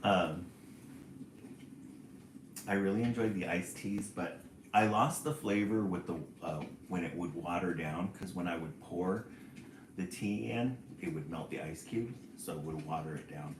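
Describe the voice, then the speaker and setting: A man's voice: sits low, a little slow, a little hiss or hum. A man in his forties hosting a casual live stream, chatting and reading out viewer comments. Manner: chatty, reads viewer comments aloud, laughs often. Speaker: livestream host. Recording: roomy echo.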